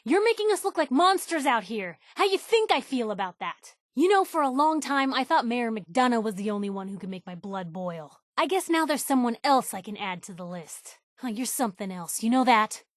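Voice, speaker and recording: Casting: outspoken young female reporter, game companion; loyal, nosy, wry, conversational, fairly high voice, close dry recording. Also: very animated, normal speed, few pauses, dry recording. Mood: angry, disappointed. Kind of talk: video game companion dialogue.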